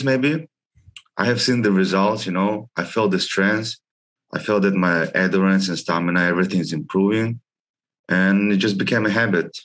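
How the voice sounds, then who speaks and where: A young man who grew up in Russia and China, talking as a guest over a headset on a video call. His voice sits low, and he tells his story casually, leaning on "like" with informal phrasing. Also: a bit bright. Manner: frequent "like", informal non-native phrasing. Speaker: guest, gym member. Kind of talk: casual interview chat.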